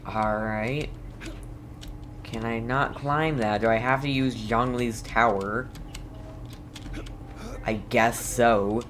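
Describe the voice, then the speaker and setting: Slow-paced excitable male gamer, noisy recording. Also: mid-range voice. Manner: excitable, reactive. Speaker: game streamer. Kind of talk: casual gameplay commentary.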